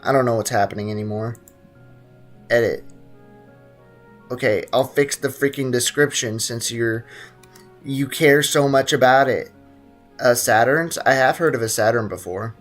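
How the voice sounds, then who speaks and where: A man's voice: medium pitch, measured pace, long pauses. A young man, chatting casually with his live-stream audience while playing a video game. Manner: chatty, greets chat, talks to himself while playing. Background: faint game music.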